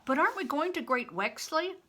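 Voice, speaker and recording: A woman's voice: sits high, lively intonation, a little fast, pushed and bright, pitched above the performer's own voice. A woman voicing an earnest, timid young boy in a storybook reading, with wondering questions and hesitant protests. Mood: puzzled, worried.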